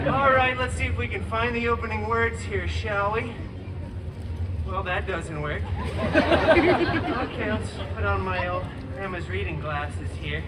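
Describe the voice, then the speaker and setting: A man's voice: high-pitched, slow pace, pauses often, very noisy. A man playing a wisecracking, theatrical antihero storyteller in a live stage show, playful and sarcastic as he addresses the audience. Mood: playful, teasing. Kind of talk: live theme park stage show, reading a parody bedtime story. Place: outdoor theme park stage. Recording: distant amplified outdoor show, loud music and crowd.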